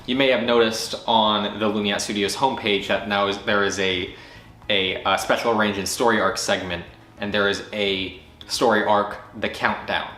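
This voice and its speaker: A man talks through his year in a bright, forceful voice that sits at an ordinary pitch. He rambles eagerly, restarting sentences and correcting himself as he goes. The recording sounds echoey, as if made in a bare room. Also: flat delivery, normal speed. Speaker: channel creator recapping the year. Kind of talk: casual solo recap to camera.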